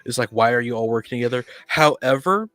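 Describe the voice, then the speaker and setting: A man co-hosting a casual podcast discussion, talking in a halting way and often rephrasing mid-sentence. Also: mid-range voice, animated, fairly bright tone, no echo. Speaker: podcast co-host. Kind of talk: casual discussion of tabletop roleplaying.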